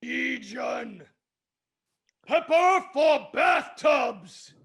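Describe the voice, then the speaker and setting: A man playing a booming, commanding orc war leader who barks orders in a fantasy comedy table read. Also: lively intonation, extremely slow, creaky, a bit bright, husky, raised voice, full character voice. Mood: barking. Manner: barking, bellowed orders.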